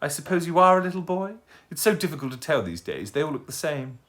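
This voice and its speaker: A fussy, kindly old lady from a storybook, prim and scolding, her voice pitched high and swooping widely, as a male reader puts her on. She fusses over manners. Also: sing-song, fast, fairly bright tone.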